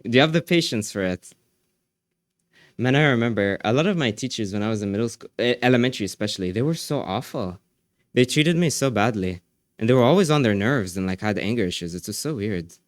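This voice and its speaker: Brief: male streamer, low voice, dry desk mic, easygoing chat replies. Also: dry recording. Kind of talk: casual live-stream chat.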